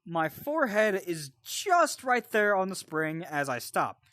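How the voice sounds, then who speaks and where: A man casually telling a personal story in an animated, sweary way, often wandering off into side details. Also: sits high, measured pace, dry recording, clean studio sound. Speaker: storyteller and co-host.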